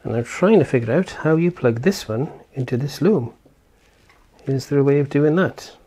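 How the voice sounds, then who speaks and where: A man's voice: mid-range voice, animated, normal speed, mellow, husky. A man giving casual hands-on product commentary as a reviewer, explaining step by step and thinking aloud informally. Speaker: tech reviewer and presenter.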